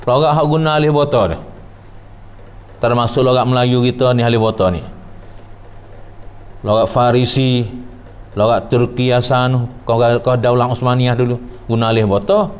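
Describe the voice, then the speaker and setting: A man teaching a traditional religious lesson. He explains slowly, repeats phrases and quotes Arabic before glossing it. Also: medium pitch, fairly even intonation, darkish tone, clean tone.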